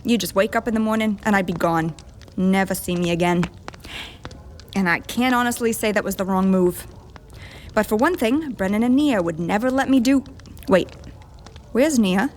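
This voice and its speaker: A woman's voice: mid-range voice, moderate pace, pauses often, a little hiss or hum, close to an everyday voice. A woman playing a tough, protective rogue leader in a fantasy audio drama, blunt, scolding and sardonic. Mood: stern scolding.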